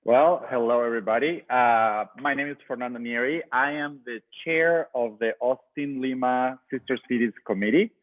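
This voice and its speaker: A man's voice: medium pitch, normal speed, hardly any pauses, no room sound. A man from Peru, chair of a sister city committee, introducing himself on a video call with frequent hesitations. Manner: hesitant, many fillers. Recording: tinny phone-like dry audio.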